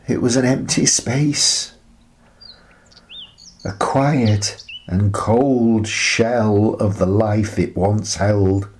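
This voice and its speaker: An actor playing a bereaved, tender old widower in a wistful, halting, reflective radio play monologue. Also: male voice, lowish voice, a little mellow, slight background noise. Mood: subdued sorrow. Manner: slow, halting, reflective delivery.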